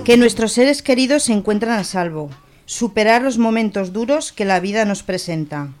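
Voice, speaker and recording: A woman's voice: on the high side, lively intonation, moderate pace. A woman presenting a segment of a radio show. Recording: music playing clearly behind. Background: music.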